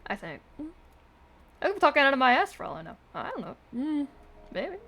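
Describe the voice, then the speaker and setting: Highish-voiced woman streamer musing casually. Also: big pitch swings, brisk, gravelly, bright, forceful tone, a little hiss or hum. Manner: informal, musing, joking. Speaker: game streamer. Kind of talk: casual live commentary. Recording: game music behind the voice.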